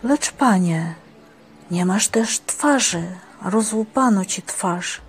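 A woman's voice: medium pitch, lively intonation, slow pace. A woman playing an earnest, devout believer in a religious drama, speaking in a respectful, declamatory way. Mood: pleading, admiring. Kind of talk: dramatic religious stage-play acting.

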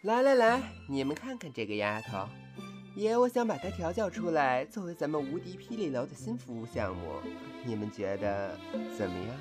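A woman's voice: very deep voice, animated, normal speed, over music. A woman playing a bossy, exasperated male brothel boss in a comic audio drama, commanding and mocking.

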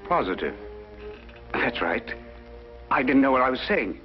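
1950s drama suspect: deep, terse, defensive, on a dull old recording with faint music. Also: male voice, sing-song, unhurried. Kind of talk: 1950s detective drama acting. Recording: muffled old broadcast, music underneath.

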